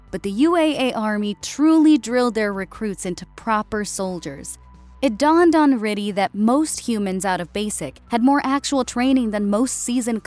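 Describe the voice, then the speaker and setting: A woman reading scripted fiction as an audiobook narrator. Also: medium pitch. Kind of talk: scripted story narration with character dialogue. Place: close, dry recording with music behind.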